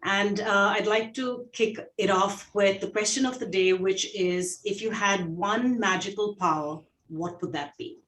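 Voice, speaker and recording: A woman with an Indian accent talks in a gentle, unhurried way, pausing often with fillers. She sits back from the microphone, and her voice sounds roomy and echoey over the video call. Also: medium pitch, far from the mic. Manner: gentle, many fillers and pauses. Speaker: guest co-host, shelter veterinary director. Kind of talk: casual video call chat.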